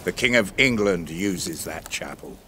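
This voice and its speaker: A man playing a hostile, gruff medieval guard, curt and scornful, in an audio drama. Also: low voice, lively intonation, measured pace, bright, forceful tone, a little hiss or hum, exaggerated voice.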